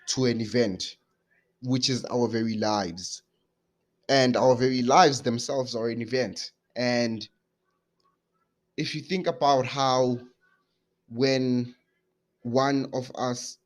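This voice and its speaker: A young man, hosting his own faith podcast while a bit sick, talking casually with many fillers and restarts. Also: lowish voice. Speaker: podcast host.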